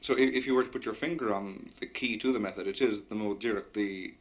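A man leading a discussion, comparing experiences and asking measured, articulate questions. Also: on the low side. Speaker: interviewer. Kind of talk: radio discussion.

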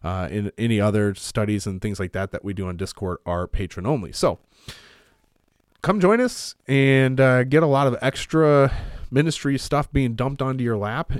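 A man's voice: medium pitch, clear, resonant tone, no room sound, clean studio sound. A man hosting a podcast as a pastor, talking in a rambling way with many fillers while congested from a cold. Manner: rambling, with frequent uh fillers and restarts. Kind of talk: casual podcast talk on theology.

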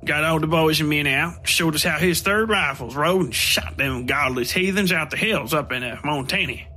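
A man's voice: a little high, moderate pace, pushed and bright, slight background noise. A man voicing a folksy, boastful shopkeeper in a storybook tale, with rambling, drawling country talk. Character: folksy boastful male shopkeeper telling war tales.

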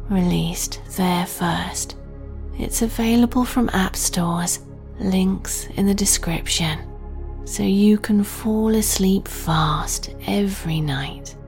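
A woman narrates a guided sleep meditation at a very slow pace. Her delivery is breathy, gentle and soothing, with a mid-range pitch. Music plays behind her. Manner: soft, breathy, soothing, deliberate.

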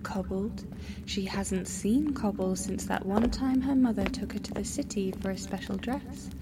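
A woman's voice: medium pitch, moderate pace, natural voice. A woman acting as a dream narrator in an audio drama, reading present-tense fantasy storytelling. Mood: dreamy storytelling. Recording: clear background noise and ambience.

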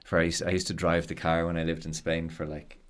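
A man's voice: low voice, completely flat delivery, fast, slight background noise. An Irish man hosting a podcast, chatting casually and laughing easily as he tells stories. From Ireland. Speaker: podcast host. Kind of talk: casual interview chat.

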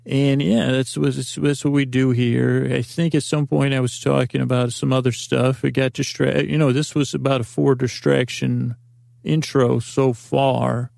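A man's voice: mid-range voice, little pitch movement, normal speed, creaky, darkish tone, dry recording, studio quality. A man hosting a podcast, rambling through a comic monologue full of restarts and self-interruptions, acting out imagined exchanges. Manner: acts out imagined dialogues. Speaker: podcast host.

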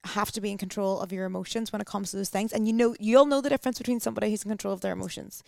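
A woman talking fast and loosely, her sentences full of "like" and restarts. Her voice has a gravelly, fry-laden texture, and the recording is close and dry. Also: medium pitch, steady tone, a little mellow, no room sound. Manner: chatty, full of "like" fillers. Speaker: podcast guest, wellness coach. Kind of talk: casual podcast chat.

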